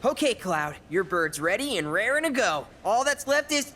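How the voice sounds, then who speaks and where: A woman playing an eager, bubbly young racing fan in a game cutscene, gushing and excitable. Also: medium pitch, moderate pace, heavy background noise, close to an everyday voice. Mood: thrilled.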